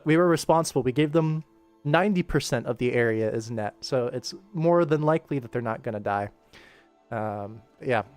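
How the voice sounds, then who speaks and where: A young man talking casually as a livestream host, rambling playfully and thinking aloud as he works. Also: mid-range voice. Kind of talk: casual livestream chat while working.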